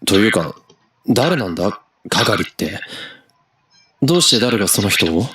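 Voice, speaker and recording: A male actor voicing an intense, questioning young protagonist in a Japanese visual novel, husky and dramatic. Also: fairly low pitch, very animated, fast, a bit bright, full character voice. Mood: probing.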